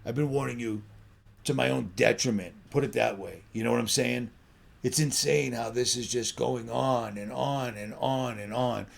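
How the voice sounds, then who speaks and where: A middle-aged American man with a gravelly, creaky voice at an average pitch. He talks off the cuff like an online channel host, bluntly pressing his points with rhetorical questions. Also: fairly even intonation, moderate pace. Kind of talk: off-the-cuff monologue commentary.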